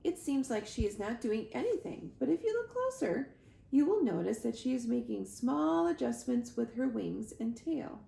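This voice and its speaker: A woman plays a protective, calm robot mother from a children's storybook. She phrases things formally and precisely, in a high voice with some room echo. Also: moderate pace, heavily acted. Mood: instructive. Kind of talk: children's storybook read-aloud. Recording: roomy echo, clear background noise.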